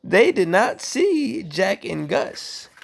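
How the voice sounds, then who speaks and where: A woman reading a bedtime story aloud in an animated style, with casual, playful and mocking asides. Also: mid-range voice, slow pace, vocal fry, slight background noise.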